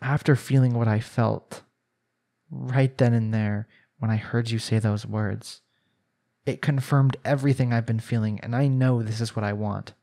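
A man's voice: medium pitch, fairly even intonation, moderate pace, gravelly, mellow, close to an everyday voice. A young man playing a jealous, lovestruck husband in an intimate romantic audio roleplay, speaking in an earnest, pleading way. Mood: earnest, yearning. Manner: earnest, pleading, sighing delivery.

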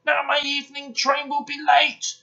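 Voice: high voice, completely flat delivery, normal speed, gravelly, bright, forceful tone, dull audio, pitched above the performer's own voice, full character voice. A storyteller voicing a big, pompous, bad-tempered male express engine who blusters sternly in a children's story. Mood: furious.

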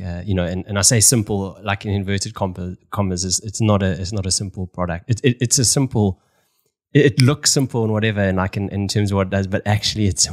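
A man in his thirties with a South African accent, hosting a casual interview podcast from a small home room, talking in loose, repeating phrases. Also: low-pitched. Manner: chatty, repeats words while searching for phrasing. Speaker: podcast host. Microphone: studio mic on stand with headphones, video call.

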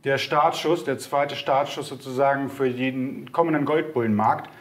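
A man's voice: mid-range voice, moderate pace. A man co-hosting a casual market chat, enthusiastic and opinionated, often ending remarks with a questioning tag. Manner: enthusiastic, bold opinions, frequent "ne?" tags. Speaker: co-host of a broker's market show.

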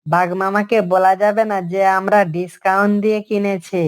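A woman's voice: mid-range voice, flat delivery, moderate pace, natural voice. A woman voicing a cocky, scheming fox in a cartoon story. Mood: guarded. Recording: music under the voice. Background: background music.

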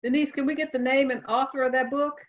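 A woman's voice: high-pitched, level tone, quick pace. A woman instructor co-hosting an informal online nutrition presentation on a video call. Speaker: nutrition class instructor, co-host. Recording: slightly dull video-call audio.

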